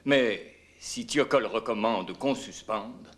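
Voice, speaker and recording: A man's voice: on the low side, takes it slowly. A man acting a disapproving space agency official in a dubbed docudrama, formal in manner. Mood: appalled.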